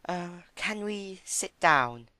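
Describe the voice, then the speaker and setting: A man plays a gentle, timid female pony in a dramatic story reading. The voice is raised well up in pitch, soft and earnest, with hesitant phrasing. It sounds dry and close. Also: animated, takes it slowly, dry recording, full character voice. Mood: nervous. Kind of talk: dramatic fan-fiction reading.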